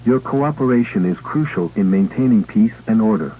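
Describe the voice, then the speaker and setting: A man playing an official emergency broadcast announcer, reading a scripted alert in a formal, measured, impersonal way through a radio filter. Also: fairly low pitch, expressive, breathy edge. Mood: grave, official. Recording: tinny, band-limited emergency radio broadcast.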